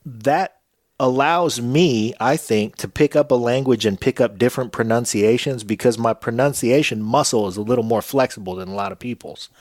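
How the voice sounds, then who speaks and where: A man hosts a podcast interview with a relaxed, conversational manner. His voice sits at a middling pitch. The sound is dry and close, with no room echo. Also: moderate pace. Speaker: podcast host. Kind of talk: casual interview chat.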